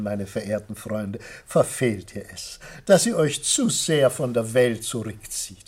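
Pompous, slow, husky senator. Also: male voice, medium pitch, a little mellow, full character voice. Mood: lofty admonishing. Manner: pompous, florid, declamatory. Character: pompous male senator, self-important amateur artist and civic improver. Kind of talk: German radio play acting.

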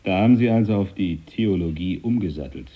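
An actor playing a stern, devout British naval captain in a radio drama, brusque, blunt and commanding. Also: male voice, low-pitched, lively intonation, brisk, phone-quality sound. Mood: blunt, probing.

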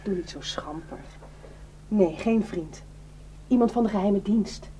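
An actress in a radio drama playing a fearful village wife who is anxious, imploring and insistent. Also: female voice, mid-range voice, unhurried, mellow, slight background noise, close to an everyday voice. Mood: pleading, insistent.

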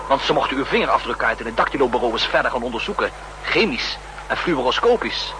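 A vengeful, mocking spy hurls accusations in old radio drama style. His pitch leaps about expressively, and the recording is clearly noisy and humming. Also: bright, forceful tone. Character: bitter, vengeful armed spy confronting his betrayers. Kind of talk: 1960s radio drama acting.

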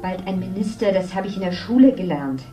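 A woman's voice: mid-range voice, animated, fairly quick, dark tone, close to an everyday voice. A woman playing a skeptical, worried wife who protests against her husband's new role, in a 1970s radio drama. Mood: protesting. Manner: doubtful, protesting. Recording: roomy distant radio play with music.

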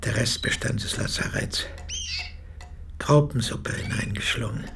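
A man's voice: lowish voice, gravelly, background noise. An actor playing an 86-year-old former army medic who recounts his memories as a solemn, precise eyewitness in a radio play. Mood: measured, recollecting. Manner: measured, precise, formal storytelling. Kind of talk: radio play monologue, reminiscence.

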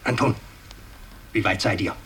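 An actor playing an imperious, scheming old aristocratic patriarch in a radio drama, declamatory and halting, moving between command and muttering. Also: male voice, on the low side, fairly bright tone, slightly rough, full character voice. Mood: probing, uneasy. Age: older. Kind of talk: 1960s German radio drama acting.

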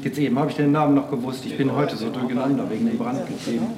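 A man giving a rambling personal monologue, speaking haltingly, often repeating himself and circling back on his phrases. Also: mid-range voice, steady tone, crowd noise.

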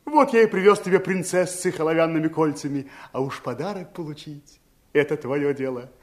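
Man acting a sly servant of pirates, high voice, humble, insinuating, theatrical fairy-tale drama. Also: a little fast, a little mellow, close to an everyday voice. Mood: servile, sly. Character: sly, servile pirate henchman, no longer young.

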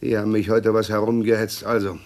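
An actor playing a weary, talkative, suspicious husband in a 1960s radio crime play. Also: male voice, on the low side, level tone, a little fast, darkish tone, close to an everyday voice. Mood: tired, suspicious.

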